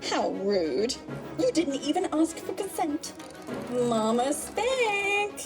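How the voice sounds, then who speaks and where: High, taunting psycho genie villainess. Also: female voice, big pitch swings, takes it slowly, full character voice. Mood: gleeful menace. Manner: taunting, theatrical, singsong villainy. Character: gleefully sadistic possessing psycho bunny genie woman. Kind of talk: audio drama acting. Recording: music under the voice. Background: music.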